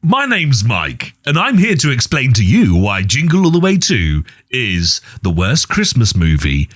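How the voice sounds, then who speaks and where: A bright, forceful man whose voice has a wide, animated range. He co-hosts a relaxed podcast chat and swears casually as he tells his stories. His delivery is lively and punchy. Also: medium pitch, a little slow. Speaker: podcast co-host. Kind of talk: casual banter.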